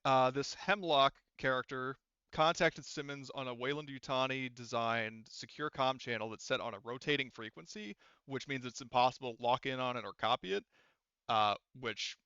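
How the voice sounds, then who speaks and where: A man's voice: mid-range voice, sharp tone, smooth, no room sound, highs cut off. A man narrating a tabletop game as game master in a casual, improvised, rambling and sometimes sweary way.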